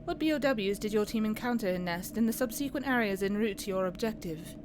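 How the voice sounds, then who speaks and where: A woman acts out a businesslike interrogator with a mid-pitched voice. She questions formally and pointedly, as in a dramatic audio roleplay. Noticeable background noise runs under her words. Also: animated, a little fast, lightly acted. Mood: businesslike, probing. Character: probing, businesslike female interrogator questioning a captive soldier.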